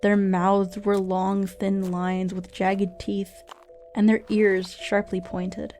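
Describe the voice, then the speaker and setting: A woman narrating a scripted radio drama in a measured storytelling manner. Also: mid-range voice, level tone. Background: soft music.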